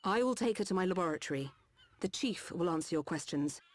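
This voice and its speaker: A woman as a composed, low-voiced machine doctor. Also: expressive, natural voice. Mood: concerned, assured. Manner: measured, formal, polite. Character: composed, caring mechanical-lifeform woman, a doctor. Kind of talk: scripted game cutscene acting.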